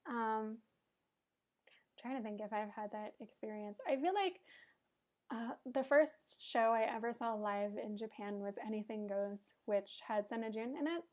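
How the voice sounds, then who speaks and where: A woman co-hosting a podcast in relaxed casual chat, with frequent "um"s. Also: on the high side, unhurried. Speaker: podcast co-host.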